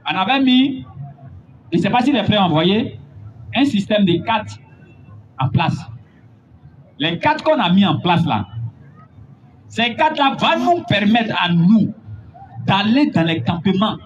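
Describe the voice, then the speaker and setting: A man preaching a sermon, building to emphatic, drawn-out points. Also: high-pitched, sing-song. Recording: dull lo-fi recording with faint noise.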